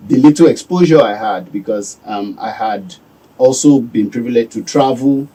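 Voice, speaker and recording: A Nigerian man giving calm, measured answers as a guest in a radio studio. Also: medium pitch, breathy edge, distant mic, poor audio. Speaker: business owner guest.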